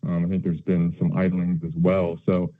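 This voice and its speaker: A man asking questions as a financial analyst on an earnings call, polite and conversational with halting phrasing. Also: sits low, level tone. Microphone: telephone. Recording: narrow, tinny phone line.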